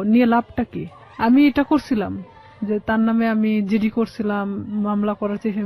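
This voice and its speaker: Female interview guest, Bangladeshi accent, personal roundabout storytelling, dull lo-fi sound. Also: mid-range voice. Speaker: guest telling her life story.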